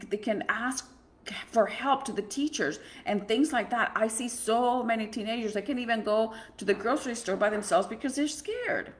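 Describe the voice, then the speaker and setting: Earnest woman giving advice. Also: mid-range voice. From Mexico. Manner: earnest, conversational, with frequent restarts. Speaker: host and therapist. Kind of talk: advice monologue on parenting.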